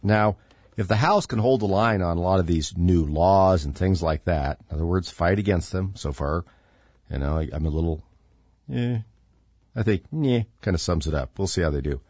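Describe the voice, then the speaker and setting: A man's voice: low voice, normal speed, a little mellow, no room sound, clean studio sound. A middle-aged man hosting his own podcast, a bit congested and stuffy, rambling sardonically with lots of fillers. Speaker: podcast host. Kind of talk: casual political commentary monologue.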